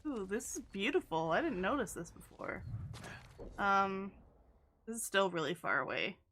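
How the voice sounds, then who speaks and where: A woman's voice: medium pitch, very animated, moderate pace, a bit bright. A woman streaming a video game, chatting casually and playfully, often laughing at herself. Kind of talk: casual gameplay commentary.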